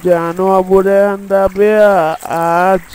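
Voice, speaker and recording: High-voiced man acting a village fisherman father. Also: flat delivery, a little slow, a little mellow, a little hiss or hum. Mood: gentle, caring. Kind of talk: dubbed moral story cartoon acting.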